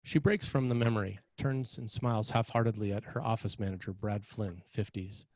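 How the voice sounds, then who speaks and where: A man reading scripted narration and stage directions as a screenplay narrator, in a measured, dramatic way. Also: fairly low pitch, a little mellow, dry recording.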